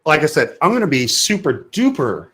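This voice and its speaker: A man's voice: a little high, sing-song, moderate pace, a bit bright, husky. Emphatic man debating on a podcast. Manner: emphatic, asks and answers own questions. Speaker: podcast co-host. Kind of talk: opinionated casual podcast discussion.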